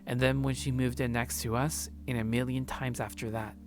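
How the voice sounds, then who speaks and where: A man's voice: medium pitch, level tone, normal speed. A man streaming a let's play, reading a visual novel aloud and reacting casually.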